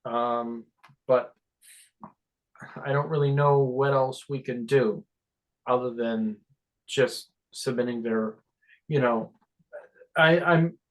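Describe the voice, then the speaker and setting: Man, medium pitch, hesitant, halting delivery, unscripted conference call discussion. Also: rough recording. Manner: hesitant, halting, thinking aloud. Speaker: meeting participant, radio monitoring volunteer.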